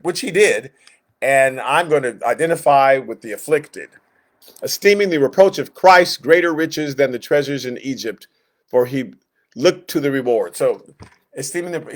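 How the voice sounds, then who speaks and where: A man teaching Sunday school in a conversational, halting way, reading verses and explaining them, often backing up to rephrase. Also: mid-range voice. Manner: conversational, halting, backtracks and rephrases, acts out inner thoughts. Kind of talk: informal Bible lesson, reading verses and explaining.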